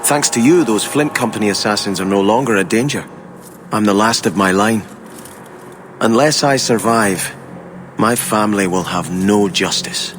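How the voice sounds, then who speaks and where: An actor playing a devout, earnest exiled prince in a fantasy video game, speaking with courteous formality. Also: male voice, fairly low pitch, normal speed, a bit bright, a little hiss or hum, close to an everyday voice. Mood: proud, resolute.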